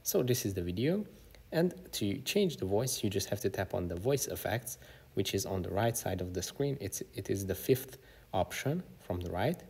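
A man narrating an instructional software tutorial as its presenter. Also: lowish voice, lively intonation, moderate pace, vocal fry, slightly rough. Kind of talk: instructional screen-recording narration.

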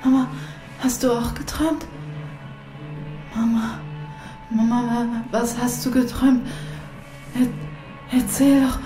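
Dazed vulnerable girl in a radio play, slow faltering speech, echoey with music. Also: a little high, level tone. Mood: dazed, pleading. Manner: halting, repeating words. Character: dazed, anxious young daughter, faltering and vulnerable. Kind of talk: German audio drama acting.

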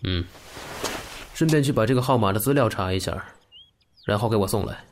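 A man's voice: on the low side, fairly quick, background noise, lightly acted. A man playing a cool, commanding gang boss in an audio drama, speaking tersely with composed authority. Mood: curt, commanding.

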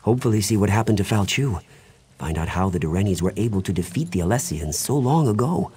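Eccentric scholar mage for a fantasy game: careless, theatrical, swooping pitch, husky edge. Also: male voice, animated, fast talker, darkish tone. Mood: absent-minded, hopeful. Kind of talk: fantasy video game dialogue.

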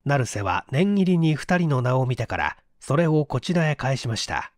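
A man's voice: medium pitch, big pitch swings, moderate pace, no echo, studio quality. A man reading scripted story narration as an audiobook narrator.

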